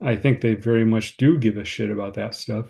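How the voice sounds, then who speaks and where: A man's voice: on the low side, fairly even intonation, brisk, creaky, warm tone. A man acting the part of a harried, considerate cousin, earnest and slightly fretful, in a screenplay read-through. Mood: worried. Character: David, harried, anxious, considerate male cousin. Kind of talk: informal screenplay table read, naturalistic dialogue.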